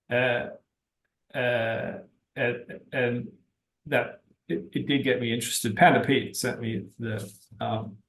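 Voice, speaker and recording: A man giving unscripted explanations as an interview guest, talking haltingly as he thinks aloud. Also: medium pitch, gravelly, rough recording. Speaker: interview guest, geology-minded stock investor.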